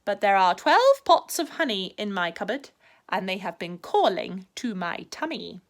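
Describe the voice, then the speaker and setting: A Scottish woman voicing a gentle, hungry male teddy bear in a storybook, speaking slowly and mildly. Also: medium pitch, big pitch swings. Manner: slow and mild.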